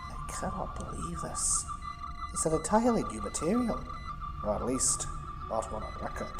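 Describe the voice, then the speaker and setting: A man's voice: on the high side, big pitch swings, moderate pace, loud music behind the voice. A man playing a strict, cautious starship chief in a sci-fi audio drama, curt and precise in speech.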